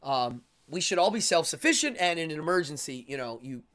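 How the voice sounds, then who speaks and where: Rambling man with a higher-pitched voice. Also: expressive, fairly bright tone. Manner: rambling, repeats words in quick bursts. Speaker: live-stream show host. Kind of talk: casual opinionated live talk, reading and answering chat.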